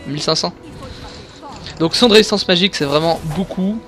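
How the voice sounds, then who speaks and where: A man commentating his own gameplay live, excitable and coaching the viewers on items. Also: high-pitched, fairly quick. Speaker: gamer explaining his play. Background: game music.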